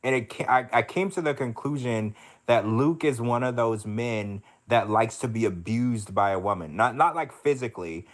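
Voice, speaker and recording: A man's voice: mid-range voice, normal speed. Man, podcast co-host, casual chat, blunt, swears a lot, mid-range voice. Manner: blunt, profane, opinionated.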